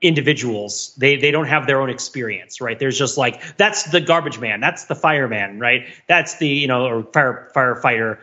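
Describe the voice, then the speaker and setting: A man co-hosting a podcast, talking casually and digressively about films in an animated, wryly analytical way. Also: medium pitch, normal speed, hardly any pauses, highs cut off. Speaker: podcast co-host. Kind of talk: casual film discussion, rambling commentary.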